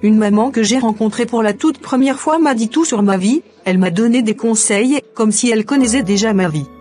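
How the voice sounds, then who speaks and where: Earnest young woman in an animated drama, acted by a woman. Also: on the high side, a little fast, faint music behind, close to an everyday voice. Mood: earnest, insistent. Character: devout young single woman, earnest and trusting.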